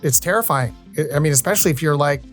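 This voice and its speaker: Guy in his 50s chatting on his podcast, talkative, slightly high voice, bit of music. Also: animated, fast talker. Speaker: podcast host. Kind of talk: conversational interview.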